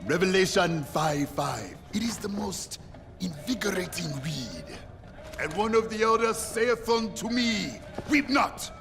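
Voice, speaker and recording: A man's voice: sits high, very animated, a little slow, a bit bright, exaggerated voice. A man playing a zealous, eccentric gun-dealing preacher in a video game, theatrical and grandly friendly as he sermonizes. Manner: theatrical, sermonizing, savoring words. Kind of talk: video game cutscene acting. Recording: long cavernous reverb, faint music.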